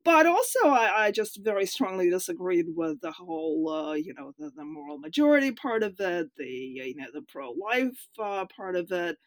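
A woman's voice: on the low side, big pitch swings, normal speed, hardly any pauses, no echo. A woman in her fifties from Russia, a writer answering interview questions hesitantly, with many fillers and laughs. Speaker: writer, guest.

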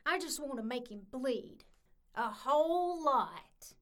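Hot-headed young woman, vengeful, bright high voice, very animated, audio drama. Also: slow, full character voice. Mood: furious.

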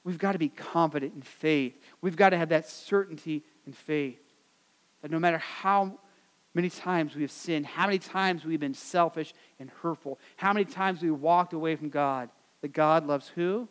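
A man's voice: fairly high pitch, moderate pace, a bit bright, long reverb tail. An American man preaching a sermon as pastor, earnest and repetitive, with many fillers and restarts. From United States.

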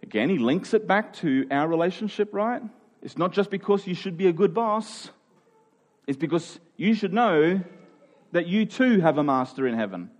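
This is a man preaching, his voice a little higher than average. He explains in an informal, conversational style, stressing his points and checking in with those listening. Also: lively intonation, normal speed. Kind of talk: conversational expository sermon.

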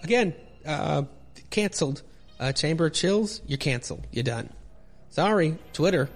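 A man's voice: a little high, lively intonation, normal speed, soft background music. A man hosting a podcast, giving joking, rambling commentary with mock indignation as he reads a comic book aloud. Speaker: podcast host.

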